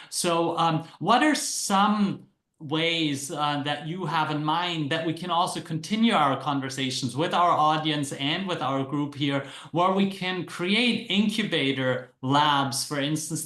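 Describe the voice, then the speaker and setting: A man's voice: on the high side, a bit bright. A man moderating an academic panel, asking long rambling questions with many fillers. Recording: echoey room.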